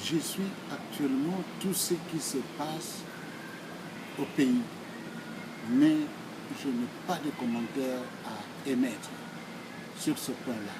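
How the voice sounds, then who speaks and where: A man in his sixties with a Congolese accent, giving formal answers in a deliberate, emphatic, oratorical way, phrase by phrase. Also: mid-range voice, background noise. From Democratic Republic of the Congo. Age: 60s. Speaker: speaker answering questions.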